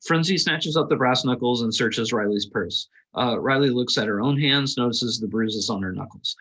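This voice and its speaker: A man's voice: mid-range voice, moderate pace, a bit bright, husky, low-quality recording. A man reading scripted stage directions aloud as narrator at a table read.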